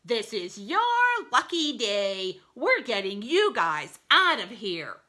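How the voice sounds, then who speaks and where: A woman's voice: medium pitch, very animated, slow, bright, forceful tone, exaggerated voice. A woman voicing a feisty little male piranha in a storybook, emphatic and punchy. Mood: bold.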